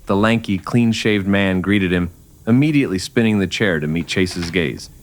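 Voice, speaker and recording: A man's voice: sits low, moderate pace, slight background noise. A man narrating a scripted Western story in the manner of old-time radio drama.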